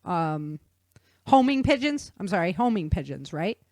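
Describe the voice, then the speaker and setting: A woman explaining things in an animated, conversational way with casual slang. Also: fairly low pitch, normal speed, no room sound, clean studio sound. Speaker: guest author explaining research.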